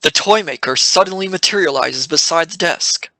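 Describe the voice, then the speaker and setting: A man's voice: a little high, moderate pace, pushed and bright. A man reading scripted narration for an audio drama.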